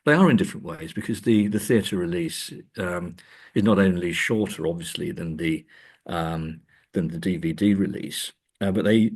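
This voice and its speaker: A man's voice: sits low, a little mellow, slightly rough. A man speaking as an academic guest in a discursive interview, hesitant and often repeating words as he thinks.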